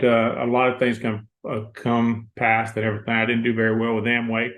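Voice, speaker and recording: A man from Florida gives casual, folksy advice on a call, rambling with a chuckle now and then. His voice sits at an ordinary middle pitch. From Florida, United States. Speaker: team leader on a call. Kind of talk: casual motivational talk.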